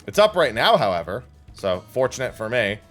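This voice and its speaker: A man's voice: mid-range voice, big pitch swings, brisk, a bit bright, faint music behind. A man giving casual, rambling livestream commentary as he plays a game, thinking aloud. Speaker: livestream gamer and host.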